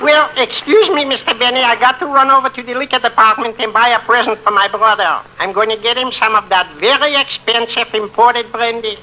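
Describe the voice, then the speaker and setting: An actor playing an excitable, cheerful middle-aged shopper with exclamatory delivery in a 1950s radio comedy. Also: male voice, very high-pitched, heavily acted. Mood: cheerful, bustling. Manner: exclamatory, drawn-out interjections. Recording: noisy old radio recording.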